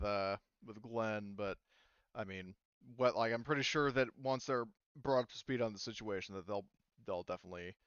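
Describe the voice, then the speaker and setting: An agreeable spaceship owner who hesitates and hedges as he agrees to a deal, voiced by a man. The voice is smooth and clear. The recording is dry and dull. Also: on the low side, fairly bright tone, no echo. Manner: easygoing, hesitant with fillers.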